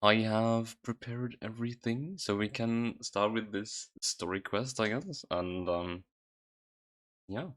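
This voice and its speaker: A man's voice: on the low side, slow, a bit bright. A man livestreaming casually, thinking aloud in a hesitant, loose way. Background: background music.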